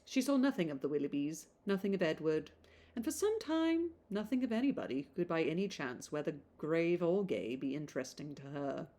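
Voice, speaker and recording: Woman reading aloud, slightly low voice. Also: very animated, brisk, warm tone. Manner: conversational, rambling off on tangents in asides. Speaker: podcast host reading a classic novel aloud. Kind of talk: informal audiobook reading with chatty asides.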